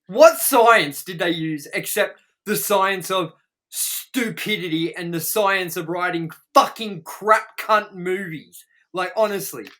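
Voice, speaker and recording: Guy hosting a movie review chat, kinda high bright voice, sarcastic and fed up, swears a lot. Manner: sarcastic, exasperated ranting. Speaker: host of a movie review show. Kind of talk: casual, profane movie review banter.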